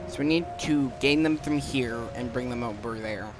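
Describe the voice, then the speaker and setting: A man's voice: medium pitch, normal speed, noisy background. A man giving casual commentary as the host of a gaming video. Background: game music.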